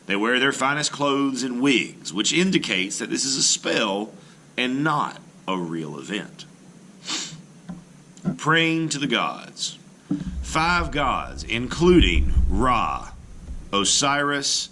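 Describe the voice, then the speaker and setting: A man with a fairly low, bright voice reads an educational text aloud like a teacher. His pitch moves animatedly as he stresses key words and pauses between phrases. Faint noise sits behind the voice. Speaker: art teacher reading aloud. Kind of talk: read-aloud lesson narration with brief explanatory asides.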